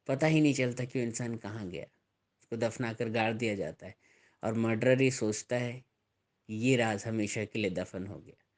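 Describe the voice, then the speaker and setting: Man, mid-pitched voice, preacher, deliberate emphatic delivery, scripture sermon. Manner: emphatic, deliberate, repeats and explains phrases.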